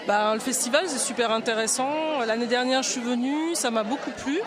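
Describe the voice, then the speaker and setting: A woman's voice: on the high side, brisk, a crowd in the background. A woman at a film festival answering briefly and with enthusiasm. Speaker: festival-goer. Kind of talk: vox-pop answer.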